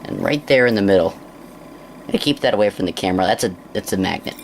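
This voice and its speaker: A man giving an unscripted hands-on demonstration in a halting, casual mutter as he works, on an amateur recording. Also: fairly low pitch, animated, vocal fry, a little hiss or hum. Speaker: presenter of a hands-on science demonstration.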